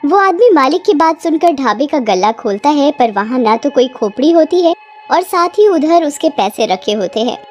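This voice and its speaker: A woman's voice: sits high, animated, normal speed. A woman reading scripted narration for a cartoon story, with music behind her.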